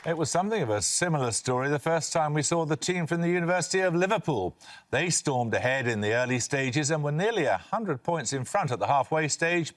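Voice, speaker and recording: Brisk older man hosting a quiz. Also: a little high, a bit bright. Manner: crisp, brisk quizmaster delivery. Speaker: quiz show host. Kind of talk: reading quiz questions and introductions.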